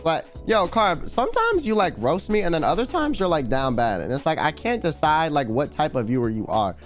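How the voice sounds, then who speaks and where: A young man chatting casually on a late-night stream, full of slang and loose tangents. His voice sits a little high for a man and swings widely in pitch. Faint background music plays under him. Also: very animated, a little fast. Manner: slangy, rambling, reads chat aloud.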